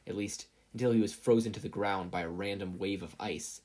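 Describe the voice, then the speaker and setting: A story narrator reading scripted fiction in an expressive storytelling manner. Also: sits low, fairly quick. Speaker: podfic reader and narrator.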